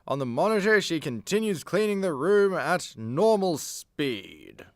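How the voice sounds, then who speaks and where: A man narrates a radio play in a fairly high, lively voice. He takes his time, and the recording is close and dry. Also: a little slow, dry recording.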